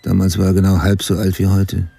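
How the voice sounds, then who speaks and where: Low, husky haunted crime writer. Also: male voice, monotone, brisk, mellow, full character voice. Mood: brooding, matter-of-fact. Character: adult male crime writer, obsessed and haunted, recalling his investigation. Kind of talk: radio play narration.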